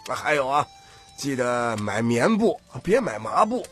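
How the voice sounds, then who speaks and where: A man playing a thrifty, bossy old grandfather who heads a troupe, with a husky, drawling, lecturing delivery in an audiobook. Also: medium pitch, sing-song, a little slow, faint music behind. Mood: grudging, fussy. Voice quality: husky, raspy. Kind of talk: audiobook character acting.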